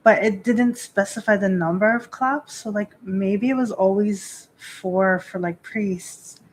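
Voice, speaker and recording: A woman chats on a live stream at a middle pitch, thinking aloud and circling back on herself. She fills nearly every pause with "like" and breaks into small laughs as she goes. A faint hiss sits under her voice. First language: not Japanese. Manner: rambling, lots of "like" fillers, laughs often. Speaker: Shinto priestess hosting a live stream. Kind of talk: casual live-stream chat.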